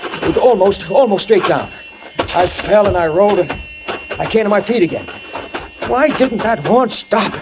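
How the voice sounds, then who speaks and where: An actor playing a guilt-ridden man who fled a fatal crash, narrating in the first person in a 1940s radio drama with tense, halting speech that stammers and repeats. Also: sits high, animated, background noise. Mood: panicked. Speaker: narrator and lead character. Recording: old radio recording with music behind.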